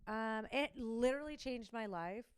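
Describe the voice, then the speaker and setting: A woman's voice: fairly high pitch, moderate pace, clear, resonant tone. A woman guest on a chat show, chatty and rambling, full of likes and ums. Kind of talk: casual chat.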